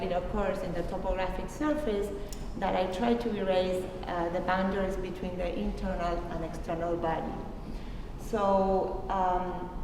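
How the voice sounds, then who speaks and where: A woman from Argentina presenting her art at a gallery talk, thoughtful and pausing often to search for words. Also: mid-range voice. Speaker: artist presenting her work. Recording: echoey hall recorded from far off, noisy.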